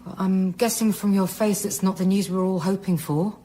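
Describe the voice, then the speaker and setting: A woman's voice: mid-range voice, fairly even intonation, a little fast, warm tone, a little hiss or hum, lightly acted. An actress playing a resourceful, anxious woman scientist in a naturalistic radio drama, conversational and matter-of-fact.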